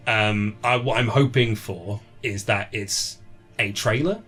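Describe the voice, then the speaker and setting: A man's voice: fairly low pitch, slow pace, a bit bright. Chatty man over music. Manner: chatty, acts out imagined quotes. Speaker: interview guest, writer and presenter. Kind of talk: casual conversation about films and games.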